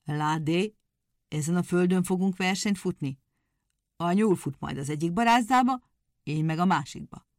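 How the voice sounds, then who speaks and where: A woman voicing a small, crooked-legged male hedgehog, sly and quick to take offense, with a folksy, stubborn way of talking. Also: fairly low pitch, darkish tone, no echo, studio quality, lightly acted. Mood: bossy.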